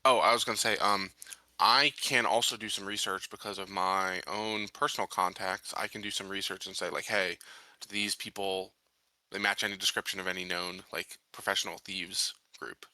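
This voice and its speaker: A man with a low voice and a very bright, forceful edge chats casually through a tabletop roleplaying game. The recording is dry and close. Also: fairly even intonation, moderate pace, dry recording, rough recording.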